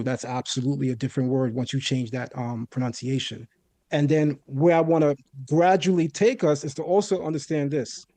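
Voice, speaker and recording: A man raised in a Caribbean home explains a point of language step by step, often checking in with "right?". His voice sits fairly high but sounds mellow and dark. The sound is dry and close. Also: dry recording. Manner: lecturing, methodical, rhetorical "right?". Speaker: guest debater. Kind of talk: explanatory debate on Hebrew.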